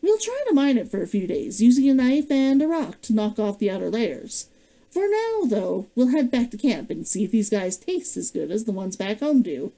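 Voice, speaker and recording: A woman reading the lines of a practical young male adventurer in a calm, steady way. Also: fairly high pitch, normal speed, rough recording.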